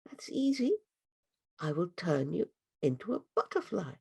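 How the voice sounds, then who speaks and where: A storyteller voicing a gentle, wise butterfly guide in a soft, calm, reassuring way. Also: medium pitch, measured pace, gravelly, breathy edge, full character voice.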